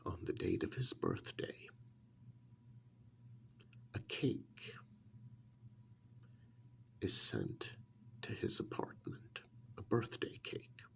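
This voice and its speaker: A man with a fairly low, creaky voice narrates softly in ASMR style. He goes very slowly, in short halting phrases that often fade into whisper. He is close to the microphone, with faint noise behind him. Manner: hushed, often dropping into whisper; halting, deliberate phrasing with long pauses. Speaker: ASMR role-play performer. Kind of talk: soft-spoken ASMR storytelling.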